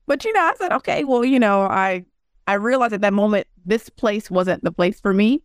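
A woman's voice: medium pitch, normal speed, no room sound, studio quality. A young woman, a guest in a casual interview, telling stories and acting out what people said. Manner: animated storyteller, acts out quotes.

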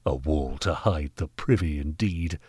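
A man's voice: extremely low pitch, measured pace, slightly rough, no echo, clean studio sound, pitched below the performer's own voice, heavily acted. A man voicing a haughty, bullying Victorian knight in a storybook reading, pompous and scornful. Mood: contemptuous, angry. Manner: pompous, forceful, contemptuous. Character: haughty, callous, bullying Victorian gentleman knight, angry benefactor. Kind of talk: dramatised audiobook character reading.

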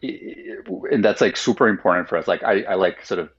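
A man's voice: low voice, lively intonation, fairly quick, slightly rough, highs cut off. A man whose first language is Finnish, a guest in a casual interview, explaining his ideas thoughtfully and at length with lots of "like"s. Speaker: guest, company founder.

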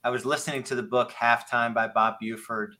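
A man around fifty hosting a casual podcast interview, speaking in an earnest, rambling and halting way. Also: mid-range voice, steady tone, pushed and bright. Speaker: podcast host.

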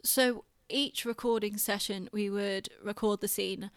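A woman's voice: mid-range voice, steady tone, measured pace, no echo, clean studio sound. A British woman chatting casually on a podcast in an informal, self-deprecating way. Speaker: podcast host and theatre director.

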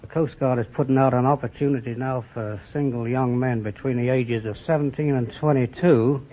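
A man's voice: mid-range voice, fairly even intonation. Earnest American man on muffled old radio. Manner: earnest, matter-of-fact, somewhat halting. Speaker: quiz show contestant, lighthouse keeper. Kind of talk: plain question-and-answer interview. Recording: muffled old-time radio, faint hiss.

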